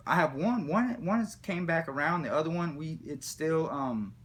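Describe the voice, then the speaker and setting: A man telling a comic personal story in a rambling, slangy, profane way with animated retelling. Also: on the high side, normal speed. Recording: background noise, room ambience.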